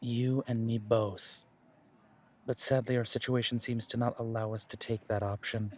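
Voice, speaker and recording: A man playing a steady werewolf pack leader. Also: mid-range voice, lightly acted. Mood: steady, serious. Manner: earnest, measured, reassuring, with dry humour. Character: steady, protective male werewolf pack leader, wry and dutiful. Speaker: voice actor in audio roleplay. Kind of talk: scripted audio roleplay drama.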